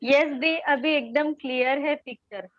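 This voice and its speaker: A woman's voice: high-pitched, fairly even intonation, a little slow, lo-fi sound. A woman moderating an online class, passing on chat questions with brief, respectful replies.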